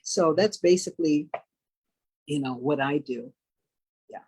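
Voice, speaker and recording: A woman answering an interview conversationally, explaining things in a measured, plain-spoken way. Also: low voice, darkish tone, breathy edge. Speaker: stage play cast member.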